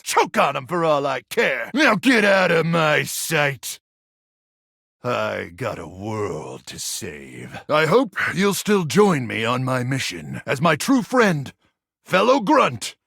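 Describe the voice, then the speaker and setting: Gravelly gung-ho microwave game hero, male, super theatrical and macho, kinda high for a tough guy, dry studio sound. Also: huge pitch swings, slow, no room sound, exaggerated voice. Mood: bitter fury. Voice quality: gravelly, husky. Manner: bombastic, theatrical swings from brooding to shouting. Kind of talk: video game character acting.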